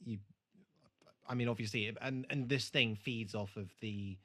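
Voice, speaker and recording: A man's voice: fairly low pitch, fairly even intonation, unhurried, a bit bright. Sweary man chatting casually. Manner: sweary, dry, emphatic. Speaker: podcast co-host. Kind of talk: casual chat, TV re-watch commentary.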